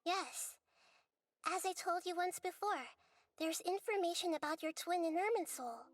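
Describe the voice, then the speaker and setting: A woman voicing a gentle, wise young girl deity in a video game, speaking in a measured, articulate, earnest way. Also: very high voice, dry recording. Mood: calm, explanatory. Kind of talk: scripted video game voice acting.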